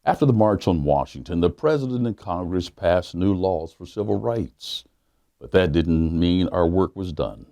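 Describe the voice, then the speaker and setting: A man, a pastor, reading a children's book aloud in a measured, emphatic way with dramatic pauses. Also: low-pitched, expressive, warm tone, no echo. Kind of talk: storybook read-aloud for children.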